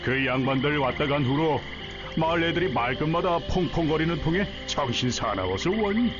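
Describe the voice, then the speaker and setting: A man's voice: a little high, expressive, normal speed, natural voice. A man voicing a talkative, rustic middle-aged harbor villager in a Korean game story, with familiar, blunt speech. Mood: chatty, proud. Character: chatty, folksy middle-aged male port villager. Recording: dull sound under music.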